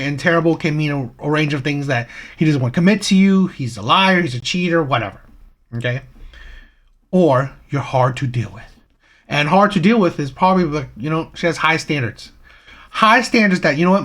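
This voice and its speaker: A man's voice: fairly high pitch, a bit bright. Emphatic man giving opinionated commentary. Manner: emphatic, rhetorical questions, frequent "right?". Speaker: commentary channel host.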